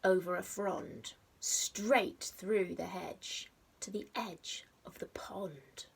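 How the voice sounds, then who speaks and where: A woman reading a picture book aloud to young children like a teacher, expressively, with dramatic pauses and stressed rhymes and sound words. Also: fairly low pitch, steady tone, creaky, breathy edge, in a whisper. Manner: simple explanatory asides addressed to young children. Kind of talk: animated storybook read-aloud.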